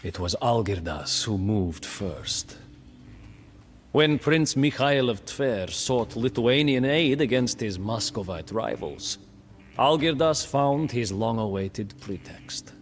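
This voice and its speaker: A man's voice: medium pitch, lively intonation, slow pace. An actor playing a proud, bitter medieval Lithuanian prince who narrates his story theatrically in a video game cutscene. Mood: grave, measured. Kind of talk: dramatic scripted historical narration in character.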